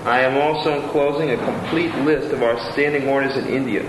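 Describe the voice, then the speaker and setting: A man's voice: mid-range voice, fairly even intonation, a little fast. A man reading letters and reviews aloud, plain and attentive, adding his own asides. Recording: roomy room with clear background noise.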